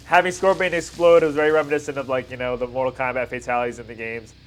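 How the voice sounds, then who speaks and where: A man's voice: medium pitch, little pitch movement, quick pace. A man hosting a reaction video, giving casual, slangy fan commentary on fight scenes. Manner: informal slangy fan talk.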